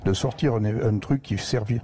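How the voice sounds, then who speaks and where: A man's voice: lowish voice, moderate pace, warm tone, a little hiss or hum. A man with a Lozère accent, an agricultural educator telling rambling anecdotes as a guest in a live radio interview broadcast from a museum. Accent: Lozère, southern France.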